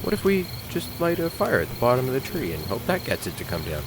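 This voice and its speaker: A man's voice: medium pitch, wildly swooping pitch, quick pace. A man playing a timid, naive and earnest monster hunter with a hesitant, stammering delivery. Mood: nervous, earnest. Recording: clear noise, outdoor cricket ambience.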